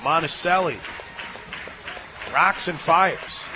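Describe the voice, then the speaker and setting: An American man works as a radio play-by-play announcer for softball, with a fairly high voice. He is conversational and folksy between pitches, then punchy on the calls. A stadium crowd is clearly audible behind his broadcast mic. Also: slow pace, heavy background noise. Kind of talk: live softball radio commentary with casual banter.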